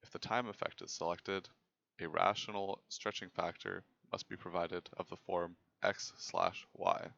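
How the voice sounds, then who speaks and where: A young man narrates a technical presentation in a very low voice with a lot of fry. His delivery is measured and formal, and the recording sounds slightly dull and lo-fi. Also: little pitch movement, a bit bright. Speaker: presenter of a student software project.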